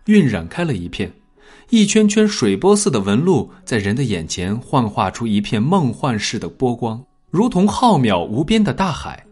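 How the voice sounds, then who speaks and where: A man narrating a scripted dramatic story in an expressive storytelling manner. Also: medium pitch, husky. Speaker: audiobook narrator.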